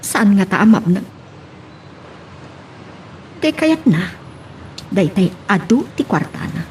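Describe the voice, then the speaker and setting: A woman's voice: medium pitch, big pitch swings, takes it slowly, darkish tone, slight background noise. A woman playing a gossipy, disapproving character who muses aloud in an Ilocano radio drama.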